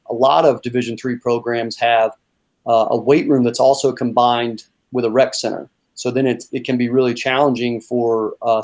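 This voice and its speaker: Young man, monotone delivery, easygoing coach with a casual, halting style. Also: medium pitch, vocal fry. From North Carolina. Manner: relaxed, with many fillers and repeated words. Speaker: strength and conditioning coach. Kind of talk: casual interview answers.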